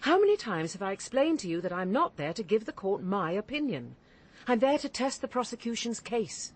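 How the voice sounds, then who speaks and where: A woman's voice: mid-range voice, sing-song, fairly quick, slightly rough. An actress with an English accent playing a middle-aged, stern female barrister and cold mother in a radio drama, articulate, caustic and controlled. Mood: stern, emphatic.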